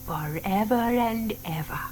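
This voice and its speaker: A woman's voice: medium pitch, unhurried, a little mellow, natural voice. An older woman acting a sweet, gushing lady who dotes on her pet, in a children's audio drama. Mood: tender, moved.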